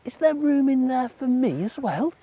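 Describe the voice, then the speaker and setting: A storyteller voicing a cold, hopeful rabbit in a children's folk tale, asking slowly and pleadingly for room. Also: sits high, expressive, dark tone, slightly rough, voice pushed up. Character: cold, hopeful rabbit seeking a winter home.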